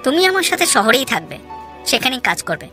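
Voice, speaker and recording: A woman's voice: high voice, sing-song, fairly quick, bright, forceful tone, pitched above the performer's own voice, exaggerated voice. A woman voicing a grateful, generous, wealthy city businessman with a confident, friendly, insistent manner in a moral story, over music. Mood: generous, assured. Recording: music behind the voice.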